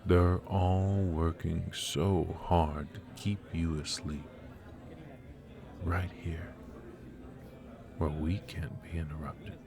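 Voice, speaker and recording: A man playing a silky, mocking dark warlord in an intimate ASMR roleplay, with theatrical, taunting, drawn-out delivery. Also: low-pitched, fairly even intonation, vocal fry, crowd noise, full character voice. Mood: smug, menacing. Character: dark male warlord villain, silky, mocking, menacing dream invader. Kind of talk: ASMR roleplay, intimate villain monologue addressed to the listener.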